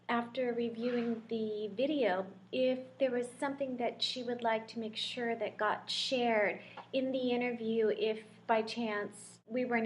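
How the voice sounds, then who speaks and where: An upbeat woman hosts a casual podcast, welcoming her guest with warm enthusiasm. Her voice sits a little high. A faint bit of room ambience runs under the recording. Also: a little hiss or hum. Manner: upbeat, welcoming, gushing intros. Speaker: podcast host.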